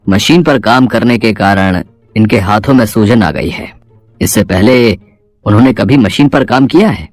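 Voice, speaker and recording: A man voicing a calm, matter-of-fact doctor giving measured advice in a Hindi moral-story cartoon. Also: on the low side, no echo, faint music behind, lightly acted. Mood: serious, advising. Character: calm, advising male doctor.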